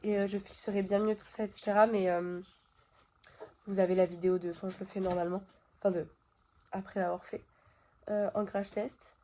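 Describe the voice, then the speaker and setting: A woman with a cold talks to her viewers from her bedroom, her nose stuffed up. Her voice is mellow and soft-edged, and she chats loosely, full of fillers and asides to the camera. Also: medium pitch, level tone, fairly quick, pauses often. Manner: chatty, talks straight to viewers with many fillers. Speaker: vlogger. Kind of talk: casual chat to camera. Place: bedroom at home.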